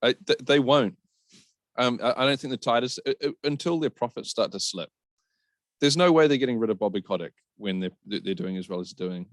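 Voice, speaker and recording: A man's voice: medium pitch, animated, brisk, no echo, clean studio sound. A man co-hosting a podcast, giving opinions in a casual, blunt and wry way. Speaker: podcast co-host.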